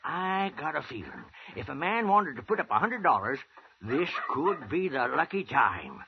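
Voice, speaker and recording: Gravelly, high-pitched old prospector. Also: male voice, big pitch swings, moderate pace, a crowd in the background. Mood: coaxing. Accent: American. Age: older. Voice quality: gravelly, cackling old-timer voice. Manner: folksy, rambling, throat-clearing drawl. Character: toothless, eager old gold prospector of the frontier West. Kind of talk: 1950s radio western acting. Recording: muffled, hissy old radio recording.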